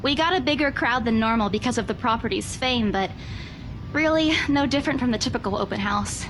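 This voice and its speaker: A woman's voice: fairly high pitch, a little fast, background noise, close to an everyday voice. A woman playing an exasperated, sarcastic young real estate agent in a visual novel, bantering emphatically. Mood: dry, exasperated.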